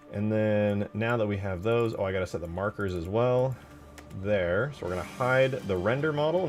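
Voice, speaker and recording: A man giving casual, informal live commentary as he works on game mods, muttering his thoughts aloud with the odd swear. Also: lowish voice. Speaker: game modder, streamer.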